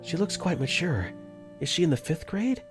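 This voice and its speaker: Brief: male narrator-lead, lively pitch, earnest and flustered, first-person visual novel dub. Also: normal speed, over music.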